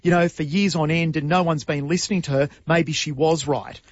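A man co-hosting talk radio, giving steady, opinionated commentary. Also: on the high side, a little fast, no echo, dull audio. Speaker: radio co-host.

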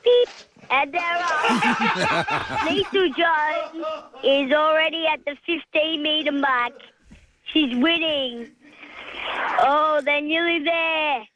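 A woman playfully voicing a swimming race commentator, giggly and excitable. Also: high-pitched, slow pace, long pauses, background noise. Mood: giggly excitement. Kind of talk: mock sports commentary.

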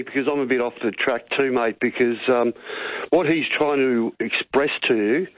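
A man's voice: mid-range voice, no echo. A middle-aged man with an Australian accent phoning a radio show, earnest and opinionated, rambling and matey. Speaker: talkback radio caller. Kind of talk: opinionated phone-in talk. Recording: tinny phone line on radio.

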